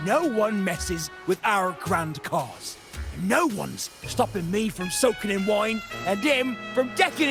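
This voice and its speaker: An actor playing a blustering, cowardly pirate in a video game, with a melodramatic, drawn-out delivery and a creaky voice. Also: male voice, high voice, full character voice. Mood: defiant. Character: blustering, theatrical male pirate, cowardly underneath. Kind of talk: video game character acting.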